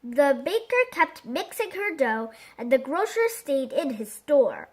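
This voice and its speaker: A woman's voice: sits high, big pitch swings, a little slow. A woman storyteller narrating a children's picture book expressively.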